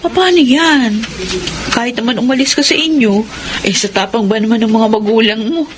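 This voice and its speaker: Woman playing young female friend, Filipino accent, high voice, practical and worried, radio drama, light noise. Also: moderate pace, close to an everyday voice.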